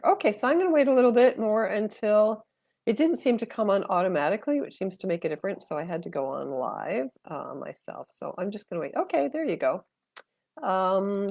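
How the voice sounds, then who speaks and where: A woman's voice: mid-range voice, vocal fry, darkish tone, dry recording, clean studio sound. An older woman from Canada, stuffed up with a cold, chatting casually and rambling with many fillers as she hosts a live astrology and tarot stream from her phone.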